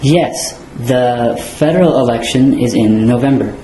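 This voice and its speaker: A man's voice: mid-range voice, a little slow, warm tone, slight background noise. A man playing a citizenship applicant, giving short, plain answers. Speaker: applicant in a mock interview. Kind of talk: scripted role-play. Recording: echoey room.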